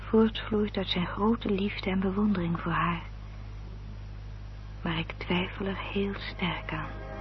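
An actress playing a determined, protective gentlewoman in a radio play, speaking in an articulate, formal way. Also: medium pitch, natural voice. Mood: grave, troubled. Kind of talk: Dutch radio play acting. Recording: dull, noisy old radio recording.

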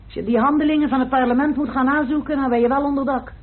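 An actress playing a sharp, precise, assured woman investigator in a radio drama. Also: high voice, steady tone, fast, close to an everyday voice. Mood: measured, assured. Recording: muffled, tinny old radio recording.

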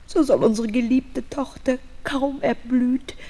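A woman's voice: sits high, steady tone, measured pace, dark tone. An actress playing a grieving, pleading queen and mother, lamenting theatrically in a 1970s fairy-tale radio play. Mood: despairing.

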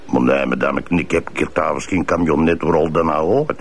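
Een acteur die een brutale, ontwijkende Vlaamse cafébink en verdachte speelt, met volkse, botte praat in een hoorspel. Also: male voice, a bass voice, fairly even intonation, a little fast, slight background noise. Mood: irritated. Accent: Flemish. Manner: slangy, cheeky, brusque. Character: cocky rough Flemish barfly suspect, gruff and evasive. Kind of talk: colloquial improvised radio drama.

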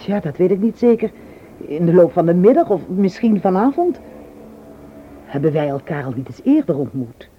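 An actress playing an earnest, polite sister in a radio play. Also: female voice, fairly low pitch, big pitch swings, normal speed, a little hiss or hum. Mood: polite, curious. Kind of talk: Dutch radio drama acting. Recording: muffled old radio play recording.

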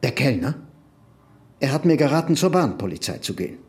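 A man's voice: mid-range voice, very animated, brisk, darkish tone, close to an everyday voice. Male actor as middle-aged merchant, desperate, dignified, defiant, articulate, indignant, radio play. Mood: stiffly polite.

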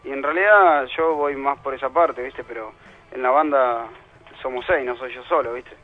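A man's voice: medium pitch, normal speed, soft background music. A man with a Buenos Aires accent chatting casually and colloquially, as an interviewed musician on the phone. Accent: Buenos Aires Argentine Spanish. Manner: colloquial, slangy. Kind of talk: casual chat. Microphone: telephone. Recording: tinny band-limited phone line.

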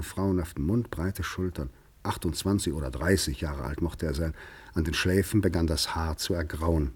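Deep, husky male narrator. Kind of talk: literary radio play narration.

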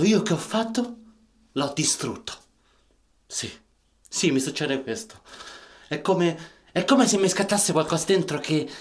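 Rough, swooping-voiced troubled Roman. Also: male voice, fairly high pitch. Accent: Roman Italian. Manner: colloquial, emphatic, short bursts of questions. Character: troubled, rough-talking Roman man, bitter and self-loathing. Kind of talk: radio drama acting.

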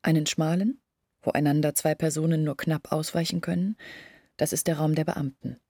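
An actress playing an earnest village woman in a radio play, explaining things in a measured, husky voice. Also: deep voice, fairly even intonation, warm tone, no room sound, studio quality. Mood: troubled, insistent. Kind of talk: literary radio play monologue.

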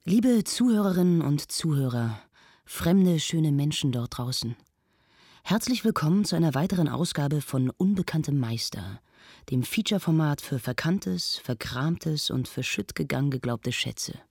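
An actress playing an earnest arts presenter, narrating a scripted mock documentary in a measured, formal, literary manner. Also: female voice, deep voice, lively intonation, a little mellow, slightly rough, no echo, studio quality, exaggerated voice. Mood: solemn welcome.

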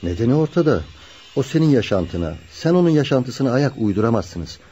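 An actor playing a frank, serious young friend who gives admonishing advice in a radio drama. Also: male voice, mid-range voice, very animated, a little fast, dark tone, husky, dull audio. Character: frank, sober young male childhood friend giving advice. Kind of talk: Turkish radio drama acting.

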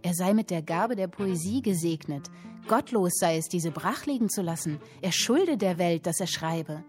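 An actress playing a captive woman who reads literary prose aloud in a radio drama, earnest, expressive and deliberate. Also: lowish voice, faint music behind, lightly acted. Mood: earnest narration.

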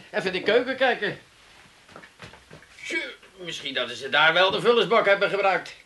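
An exasperated housekeeper from a comic radio play. The voice is high and very lively, grumbling aloud and exclaiming over and over. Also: male voice, heavily acted. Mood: disgusted. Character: exasperated, grumbling housekeeper coming home to filth.

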